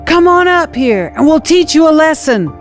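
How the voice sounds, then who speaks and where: A woman's voice: sits high, big pitch swings, normal speed, faint music behind, voice pushed up. A woman voicing a jeering enemy soldier in an audio scripture story, calling out taunts. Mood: mocking. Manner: shouted taunting.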